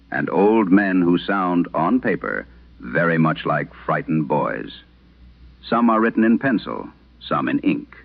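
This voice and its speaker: A man playing a solemn late-night radio host, narrating eerie letters in a grave, deliberate, formal way. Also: sits low, gravelly, full character voice. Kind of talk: vintage radio horror narration. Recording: muffled old radio broadcast sound.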